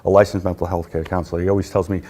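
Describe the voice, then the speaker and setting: Middle-aged New York man, warm low voice, chatty guest, lapel mic. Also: flat delivery, racing. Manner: chatty, laughs easily, many fillers. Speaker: patient advocate guest. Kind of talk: casual interview. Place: conference room, indoors.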